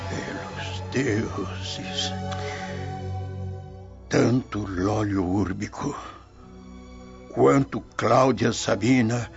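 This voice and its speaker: Elderly Roman father, gravelly, very slow, weary, grave, radio drama, music behind. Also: on the low side, lo-fi sound, full character voice. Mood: troubled. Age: older. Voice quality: gravelly, aged. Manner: slow, drawn-out, weighty.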